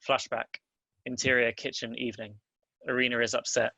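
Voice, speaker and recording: Man, screenplay narrator, stage directions, bright forceful tone, flat even pitch, dry recording. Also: brisk, gravelly, dry recording, poor audio.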